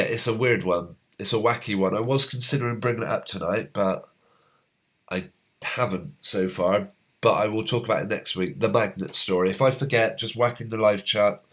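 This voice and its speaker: A man hosting a live stream, reading out viewers' comments in a halting, rambling way, his voice going hoarse as a cold comes on. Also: lowish voice. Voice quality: slightly hoarse. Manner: rambling, halting, many fillers.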